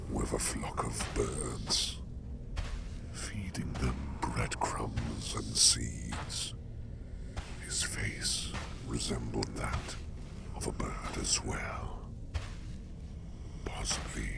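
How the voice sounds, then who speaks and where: Sleep demon for ASMR roleplay: calm and eerie, extremely slow, crackling deep in the throat, formal and deliberate. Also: male voice, exaggerated voice. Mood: calm, musing. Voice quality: very deep gravelly half-whisper, heavy fry. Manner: slow, hushed, deliberate, formal phrasing with long pauses. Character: ancient-seeming male sleep demon, calm, eerie, gently watchful. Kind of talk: ASMR roleplay, scripted monologue.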